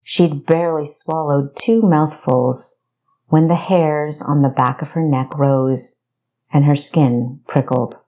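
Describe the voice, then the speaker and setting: A woman's voice: sits low, fairly even intonation, takes it slowly, darkish tone, dull audio. A woman reading scripted fiction narration for an audiobook. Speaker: author narrating own audiobook.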